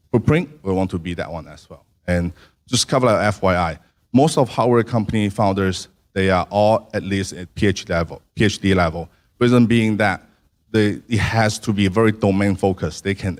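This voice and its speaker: A low-voiced man fields questions about his startup, pausing often and restarting his phrases. His delivery is halting and searching but keeps pressing on to answer. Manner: halting, many fillers and restarts. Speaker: startup founder.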